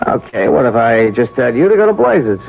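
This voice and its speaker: An actor playing a jittery small-time American crook with rough, slangy speech, in old radio drama style. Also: male voice, mid-range voice, fairly quick, narrow, tinny audio, noisy background. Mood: defiant. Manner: excitable, rough colloquial grammar, stammering when scared. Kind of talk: vintage radio drama acting.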